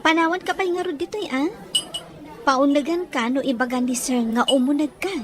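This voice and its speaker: A woman's voice: high voice, fairly quick, natural voice. A woman playing a helpful, deferential young office employee in a radio drama.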